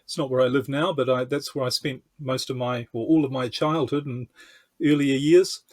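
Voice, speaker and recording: A man's voice: mid-range voice, fairly even intonation, normal speed, darkish tone. A man from New Zealand with a New Zealand accent, talking conversationally as a coach being interviewed on a podcast. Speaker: podcast guest, entrepreneur coach.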